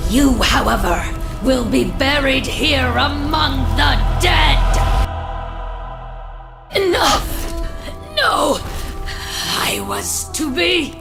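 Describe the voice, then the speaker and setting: A haughty, vengeful fallen angel from a video game. Her high voice is slow and grandly menacing, ringing with echo. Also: vocal fry, exaggerated voice. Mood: furious, wounded. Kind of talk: video game villain voice acting. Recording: echoey, with music underneath.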